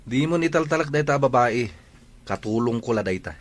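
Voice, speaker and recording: A man's voice: mid-range voice, lively intonation, normal speed, lightly acted. A man acting a bossy, arrogant young rancher in a radio-style drama, with an Ilocano accent. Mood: smooth, showing off.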